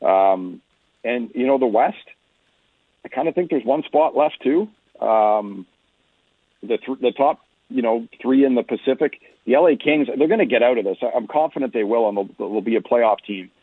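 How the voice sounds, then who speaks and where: A man's voice: mid-range voice, lively intonation, normal speed, husky, no room sound. A man giving off-the-cuff hockey analysis as a radio guest, talking conversationally and often rephrasing as he goes. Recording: muffled, phone-like radio call-in line.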